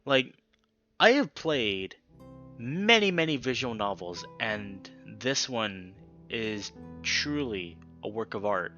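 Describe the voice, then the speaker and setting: A male let's play host talks casually and emphatically about a game, leaving dramatic pauses and swearing now and then. His voice is bright and forceful at a medium pitch. The mic sound is a little dull, with guitar music faintly behind him. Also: very animated.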